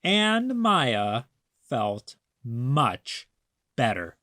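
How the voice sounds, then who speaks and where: A storyteller reading a picture book aloud for young children, expressively and deliberately, stressing words. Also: medium pitch, very animated, a bit bright, dry recording, studio quality. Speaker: children's read-aloud narrator.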